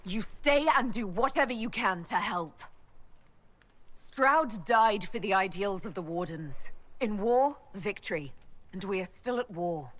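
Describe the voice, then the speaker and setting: An actress playing a resolute female military leader in a video game, speaking formally with measured authority. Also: mid-range voice, lively intonation, fairly bright tone. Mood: solemn, commanding. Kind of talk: dramatic video game cutscene acting.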